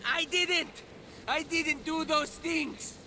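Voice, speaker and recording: A man's voice: extremely high pitch, slow, pushed and bright, a little hiss or hum, heavily acted, low-quality recording. An actor playing a smug, defensive young man in a video game cutscene, glib and sarcastic.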